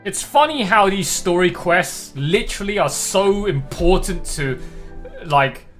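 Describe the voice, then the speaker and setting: A man's voice: high-pitched, animated, measured pace, fairly bright tone. A man giving casual live game stream commentary in a blunt, slangy, sweary way. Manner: blunt, profane, slangy ranting. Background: faint game music.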